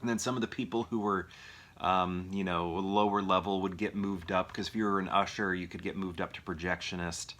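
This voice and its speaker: Deep-voiced man, bright, rambling and casual. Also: fairly even intonation, brisk. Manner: rambling, thinking aloud, self-questioning. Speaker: movie review vlogger. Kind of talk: casual impromptu chat to viewers.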